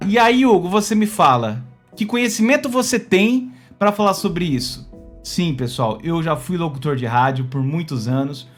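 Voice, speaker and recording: Brazilian man, high-pitched voice, informal vlog monologue, emphatic conversational delivery, background music. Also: expressive, moderate pace. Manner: conversational and emphatic, often checking in with the listener. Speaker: vlogger, former radio announcer.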